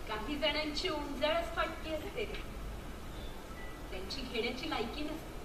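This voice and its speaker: An Indian woman acting in a stage drama as a nostalgic, self-doubting married woman meeting her former lover, tender and expressive. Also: high voice, unhurried, music in the background. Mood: regretful. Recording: echoey stage, distant mic, loud crowd noise.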